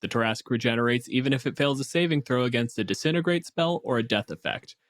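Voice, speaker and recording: A man co-hosting a casual tabletop game discussion, explaining things in an animated way and swearing freely. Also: medium pitch, fast. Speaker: podcast co-host.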